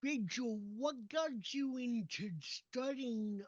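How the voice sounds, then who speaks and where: A woman hosting an interview, whose first language was sign language, speaking earnestly and deliberately. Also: fairly high pitch, no room sound. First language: American Sign Language. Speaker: podcast host. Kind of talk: casual interview chat. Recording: dull lo-fi dry sound.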